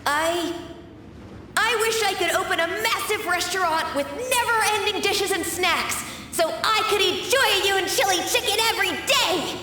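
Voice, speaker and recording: An actress voicing an excited young boy who dreams of cooking endless food, speaking earnestly in a video game flashback. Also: very high-pitched, moderate pace, far from the mic, background noise. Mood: eager. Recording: echoey hall, voice from afar.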